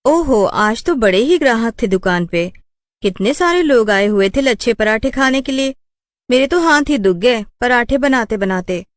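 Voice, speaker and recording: A woman performs a proud young village paratha cook from a Hindi cartoon. Her voice is pitched up, sharp-tongued and indignant, with the bite of someone used to telling people off. The sound is dry. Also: expressive, moderate pace, dry recording, close to an everyday voice. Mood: tired.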